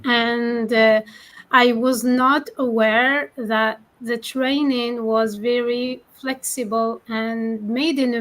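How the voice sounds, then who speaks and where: A woman from Algeria speaking as a panel guest, sharing a personal account haltingly and searching for words. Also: on the high side, a bit bright, low-quality recording.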